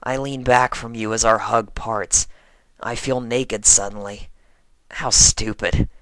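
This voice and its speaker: A man voicing a brash, lovestruck young female pegasus pony in a strained, raspy voice for a fan fiction reading. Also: fairly low pitch, steady tone, a bit bright, no room sound. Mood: smitten, flustered. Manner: halting, gushing, smitten. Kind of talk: first-person fan fiction reading in character.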